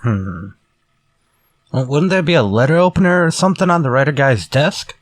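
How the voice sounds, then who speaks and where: A man's voice: a little high, expressive, normal speed, no echo, studio quality, close to an everyday voice. An actor playing an easygoing, casual male pal in a retro radio drama. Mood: helpful, casual. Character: easygoing male drinking buddy offering ideas.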